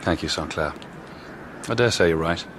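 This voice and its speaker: A man's voice: low voice, moderate pace, background noise, natural voice. A man playing a clever, self-assured young detective in a period radio drama, with a precise manner. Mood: subdued. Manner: precise, composed, deductive.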